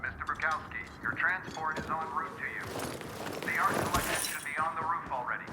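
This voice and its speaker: Game dispatcher on voicemail. Formal, fairly high voice, music clearly behind. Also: male voice, a little fast. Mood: businesslike. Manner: formal, businesslike. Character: businesslike male transport dispatcher leaving a voice message. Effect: telephone voice message. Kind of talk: recorded message in a video game.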